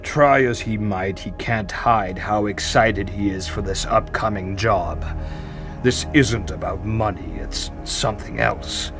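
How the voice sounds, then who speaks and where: A man's voice: lowish voice, music in the background. A man playing a wrinkled old killer god in a mythology audio drama, terse, calculating and commanding. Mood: stern, measured. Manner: terse, measured, ominous.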